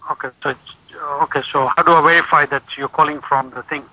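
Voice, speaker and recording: A man's voice: fairly high pitch, fairly even intonation, quick pace, sharp tone, no room sound. A man in an unscripted phone conversation, hesitant and stammering, often repeating himself, heard over a telephone line. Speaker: prank call victim answering the phone at work.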